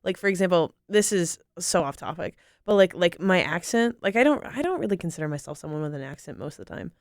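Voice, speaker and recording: A woman's voice: fairly low pitch, lively intonation, quick pace, no echo, studio quality. A young woman from North Carolina, a podcast guest, chatting enthusiastically and rambling with lots of "like"s. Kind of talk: casual conversation.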